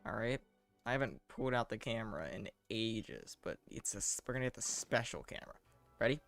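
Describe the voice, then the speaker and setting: Creaky-voiced man streaming a game. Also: fairly low pitch, lively intonation, brisk, a bit bright. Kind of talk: casual gameplay commentary. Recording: stream with game music behind.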